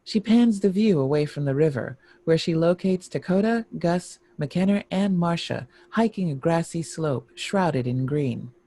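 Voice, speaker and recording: A male narrator reads screenplay directions in a mellow, fry-heavy voice of middle pitch. The sound is very dry, with no room echo. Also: animated, unhurried, few pauses. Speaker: narrator reading stage directions.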